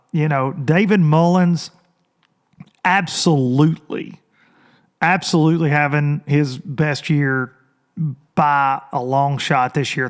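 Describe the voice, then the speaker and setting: A man hosting a casual podcast, hoarse and rambling, chatting loosely to his listeners into a microphone. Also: fairly high pitch. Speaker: podcast host. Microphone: studio microphone.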